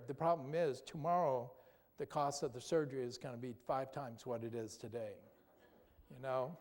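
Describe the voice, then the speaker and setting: A man's voice: medium pitch, a little mellow. A man giving a health lecture to an audience in a church, talking conversationally, quizzing the listeners and ending points with "okay?". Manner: conversational, asks the audience questions, tag "okay?". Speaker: doctor giving a health talk. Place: church hall. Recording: long hall reverb.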